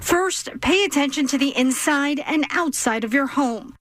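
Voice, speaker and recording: High-pitched female news reporter. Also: lively intonation, a little slow, fairly bright tone, dry recording, clean studio sound. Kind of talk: scripted news package narration.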